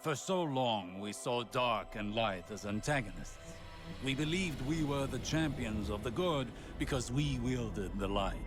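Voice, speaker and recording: An actor playing an earnest, scholarly sage in a video game, speaking in a measured, grave, philosophical way. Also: male voice, mid-range voice, lightly acted. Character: earnest, scholarly male sage pondering cosmic mysteries. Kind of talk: dramatic video game monologue.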